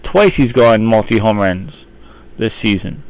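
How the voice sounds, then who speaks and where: A man's voice: on the low side, expressive, unhurried, no echo. A man delivering baseball team commentary in the manner of an announcer addressing his audience. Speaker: sports newsreel commentator.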